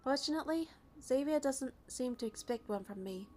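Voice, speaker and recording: A woman's voice: high voice, animated, moderate pace, darkish tone, slight background noise. A woman narrating a game playthrough as a first-person story, reading out the text and dialogue.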